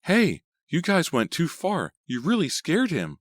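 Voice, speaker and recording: A man's voice: fairly high pitch, huge pitch swings, measured pace, no room sound, clean studio sound, voice pushed up, heavily acted. A man reading a storybook, voicing an upset teenage girl who sounds accusing. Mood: reproachful.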